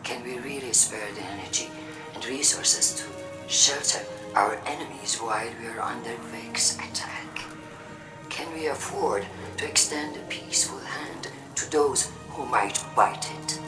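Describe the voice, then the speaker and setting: An actor playing a grave, suspicious and proud war-faction leader in a video game, delivering measured, ominous oratory. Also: male voice, medium pitch, over music, close to an everyday voice. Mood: ominous warning. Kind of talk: dramatic video game monologue.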